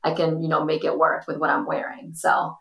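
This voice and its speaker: Chatty woman with a gravelly, echoey voice. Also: low-pitched, monotone, a little fast, a bit bright. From Portugal. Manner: chatty, many ums and you-knows. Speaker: guest, influencer. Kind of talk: casual interview talk. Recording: echoey room.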